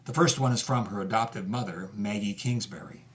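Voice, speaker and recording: An actor as a plain-spoken narrator, reading scripted present-tense narration for an audio drama. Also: male voice, on the low side, fairly quick, far from the mic, close to an everyday voice. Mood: matter-of-fact.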